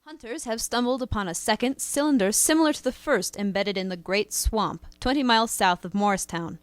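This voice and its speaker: A woman's voice: on the high side, fairly quick, no room sound, lightly acted. A woman playing an urgent radio bulletin reader, delivering news in a clipped, telegraphic style.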